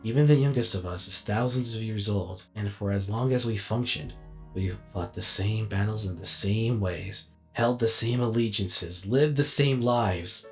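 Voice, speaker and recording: A man playing a weary, reflective alien robot warrior in a dramatic inner monologue, speaking deliberately and formally. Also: on the low side, soft background music. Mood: regretful, conflicted.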